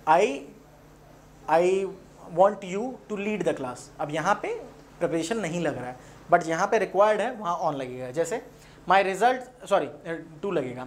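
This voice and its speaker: Indian male grammar teacher, noisy recording. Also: high voice. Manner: explanatory, repeats key points and examples. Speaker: English grammar teacher at an exam coaching class. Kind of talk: informal classroom lecture with example sentences.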